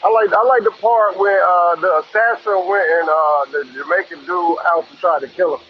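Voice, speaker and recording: A man's voice: high voice, steady tone, moderate pace, highs cut off, slight background noise. A man on a panel, chatting casually about a film. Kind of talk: casual chat.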